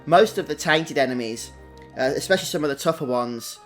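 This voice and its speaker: Male streamer, British, fairly high voice, chatty and casual gameplay commentary over faint music. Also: fairly quick, a bit bright. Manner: chatty, greets viewers individually. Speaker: game livestream host.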